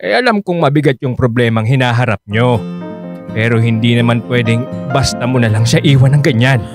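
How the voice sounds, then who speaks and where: A man's voice: mid-range voice, fairly quick, natural voice. An actor playing a concerned, insistent middle-aged Filipino father in a radio drama, speaking earnestly. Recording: dry studio with music underneath.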